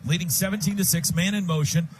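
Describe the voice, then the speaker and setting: A man's voice: high-pitched, steady tone, fast, background noise. An American man calling football play-by-play on live radio in clipped, urgent present-tense phrases from a stadium booth.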